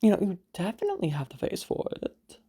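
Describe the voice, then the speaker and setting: A woman playing a condescending rich businesswoman mother who is polite but passive-aggressive, with haughty, falsely gracious pauses, in an audio roleplay. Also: medium pitch, wildly swooping pitch, warm tone, husky, pitched above the performer's own voice, heavily acted. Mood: sweetly cutting.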